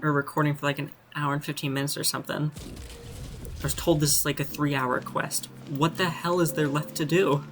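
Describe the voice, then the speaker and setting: A man's voice: a little high, flat delivery, brisk, a bit bright. A young adult man giving casual commentary as he streams his playthrough of a video game.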